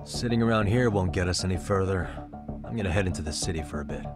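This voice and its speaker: A man's voice: deep voice, fast, music in the background, natural voice. An actor playing a cool, level-headed investigator in his thirties, speaking matter-of-factly in an English game dub. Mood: pondering. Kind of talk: English dub video game drama acting.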